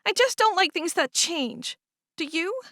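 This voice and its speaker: Woman reading as a teen farm girl, sulky and teary, voice jumps high and all over, dry sound. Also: animated, a little slow, pushed and bright, no echo, heavily acted. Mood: upset. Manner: sulky, tearful, indignant. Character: upset, stubborn teenage farm girl.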